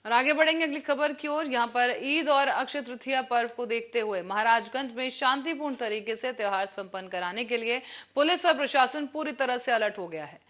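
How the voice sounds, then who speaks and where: A woman's voice: high voice, fairly bright tone. A woman presenting the news as an anchor. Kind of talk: news presenting, scripted narration and live questions to a reporter.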